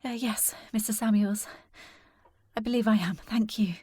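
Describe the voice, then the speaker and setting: A woman's voice: medium pitch, steady tone, moderate pace, warm tone, slightly rough, no room sound, natural voice. An actress playing a polite, earnest and determined young lady in a period audio drama, with formal speech. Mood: shaken, apologetic. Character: distressed, polite, determined young lady searching for her sister.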